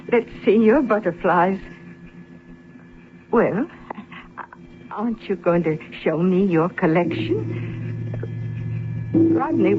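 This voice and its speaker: An actress in a radio drama playing a polite, fussy woman visitor, speaking in a fluttery, halting way. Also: fairly high pitch. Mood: uneasy, frightened. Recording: noisy muffled old radio recording.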